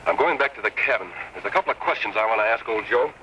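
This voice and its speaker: A steady, commanding Western lawman in vintage radio style. He speaks quickly in a bright, forceful American voice, earnest and upright, over faint recording noise. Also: fairly low pitch, low-quality recording. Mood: thoughtful, decisive. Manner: crisp, earnest, authoritative. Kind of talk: old-time Western radio drama acting.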